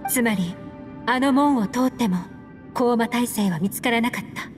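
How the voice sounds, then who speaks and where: Lady as a aloof sceptical spy woman in a game, composed elegant voice, bit high, soft music behind. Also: moderate pace, close to an everyday voice. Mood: measured, wary. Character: cool, sceptical, secretive adult female agent. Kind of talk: video game voice acting.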